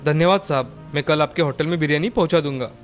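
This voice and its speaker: A man's voice: on the high side, fast, faint music behind, natural voice. A man voicing a kind, earnest young butcher and devoted son in a story, speaking politely.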